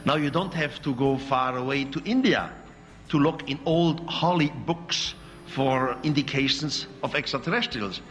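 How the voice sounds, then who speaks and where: A man's voice: mid-range voice, moderate pace, fairly bright tone. A man whose first language is Swiss German, giving a lecture in accented, searching English with occasional slips. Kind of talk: public lecture. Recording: hall recording with faint music.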